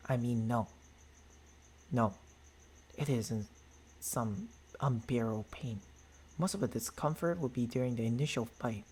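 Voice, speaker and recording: A man plays a courteous vampire boyfriend in an intimate ASMR roleplay. His voice is husky and breathy at a medium pitch, close on a binaural mic. He speaks haltingly and formally, tender and apologetic, with hunger underneath. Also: darkish tone. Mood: reassuring. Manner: halting, formal, soothing endearments. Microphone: close binaural microphone.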